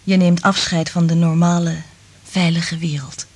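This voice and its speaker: An actress playing a sensitive, anxious woman in love in a radio play, speaking in a hushed, intimate, half-whispered voice. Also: on the low side, little pitch movement, measured pace, a little hiss or hum. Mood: sombre, hesitant. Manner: hushed, intimate, careful phrasing. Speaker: radio drama actor. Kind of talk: Dutch radio play acting.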